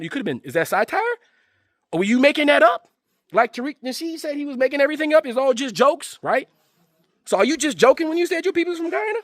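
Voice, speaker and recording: Male host for a heated commentary rant, high-pitched, dry close sound, profane and confrontational. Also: dry recording. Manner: confrontational, fires rhetorical questions; slangy, profane.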